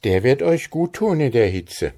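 A man's voice: medium pitch, sing-song, measured pace, dark tone, voice pushed up. A man voicing an old village widow in a storybook reading, confiding and secretive.

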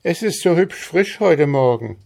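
Give me a man putting on an eager young farm girl in a storybook, voice raised high, words taken slowly. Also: expressive, creaky, darkish tone, full character voice.

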